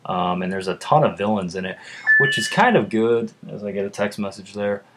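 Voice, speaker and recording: A young man with a deep voice, chatting casually in a vlog and rambling with lots of fillers. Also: a little fast, heavy background noise. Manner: rambling, lots of uhs and ums. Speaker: vlogger. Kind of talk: casual chat.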